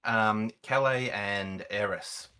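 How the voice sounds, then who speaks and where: A man with a low voice and a bright, forward edge speaks as a seasoned gamer. He explains things in a casual, conversational way as a guest on a chat. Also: takes it slowly, clean tone. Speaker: guest, experienced gamer and event organiser.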